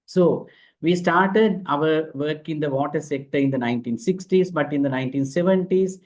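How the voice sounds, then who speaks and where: A man from Sri Lanka giving a keynote address over a video call, measured and dotted with fillers. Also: on the high side, darkish tone. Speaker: keynote speaker.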